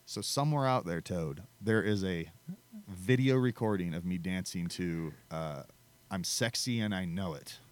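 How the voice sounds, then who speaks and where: A man's voice: low voice, unhurried. A man, a country singer, chatting casually as a podcast guest and telling self-deprecating stories. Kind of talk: casual interview chat.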